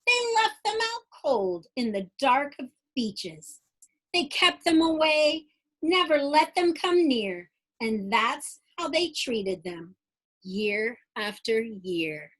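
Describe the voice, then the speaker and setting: A woman, a teacher, reading a children's picture book aloud in an expressive, dramatic way for young listeners. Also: high-pitched, slow pace, poor audio.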